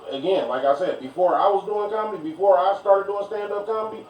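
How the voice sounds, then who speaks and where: A man's voice: high-pitched, fast, a little mellow. A stand-up comedian in his thirties in casual podcast banter, emphatic and profane, repeating his points to drive them home. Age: 30s. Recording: roomy, distant mic, faint noise.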